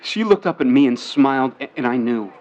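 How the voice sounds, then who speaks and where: A man's voice: mid-range voice, close to an everyday voice. Man as lovestruck young 1950s son, dull sound. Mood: dreamy, smitten. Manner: excitable, halting, boyish. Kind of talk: vintage-set audio drama acting.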